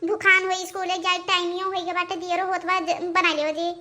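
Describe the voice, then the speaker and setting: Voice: very high-pitched, level tone, fairly quick, pushed and bright. A performer voicing an anxious, deferential village daughter-in-law with an Awadhi accent, pleading and explaining in a family cartoon. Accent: Awadhi, eastern Uttar Pradesh.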